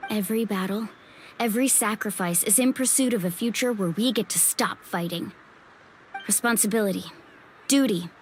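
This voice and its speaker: A woman's voice: fairly high pitch, close to an everyday voice. A woman voicing a friendly, confident young warrior in an anime fantasy game, with an easygoing, earnest way of talking. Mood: hopeful, resolute. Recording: music behind the voice.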